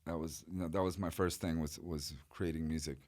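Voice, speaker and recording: A middle-aged man from Chile, a guest artist telling his life story in a relaxed, rambling way with many fillers. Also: very deep voice, fairly quick. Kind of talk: casual interview storytelling.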